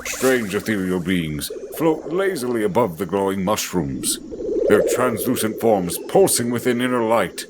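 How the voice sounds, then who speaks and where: A man's voice: on the low side, expressive, unhurried, very noisy. A man narrating a scripted fantasy audio drama in a theatrical, descriptive storytelling manner.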